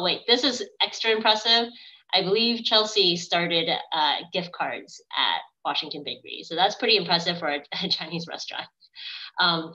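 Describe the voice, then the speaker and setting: A woman's voice: on the low side, sharp tone. A woman in her thirties hosting a casual online event over a video call. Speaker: event host. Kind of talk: casual livestream hosting, reading out comments. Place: indoors on a video call with virtual background. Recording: dull, lo-fi video-call audio.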